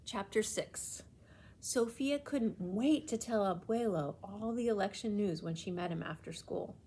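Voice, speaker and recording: A woman reading a children's storybook aloud in an expressive, deliberate way with emphasis. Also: medium pitch, husky.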